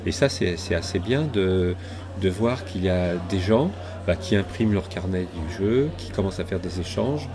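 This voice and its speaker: A man's voice: deep voice, moderate pace. A middle-aged man from southwest France, talking in a rambling, hesitant way as an interview guest. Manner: hesitant, many fillers and restarts. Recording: noisy crowd around.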